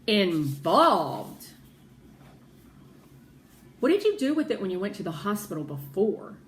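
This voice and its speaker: A woman's voice: medium pitch, very animated, slow. A woman voicing a tough, blunt street woman from a children's novel, speaking emphatically. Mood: blunt, insistent. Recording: roomy echo with background noise.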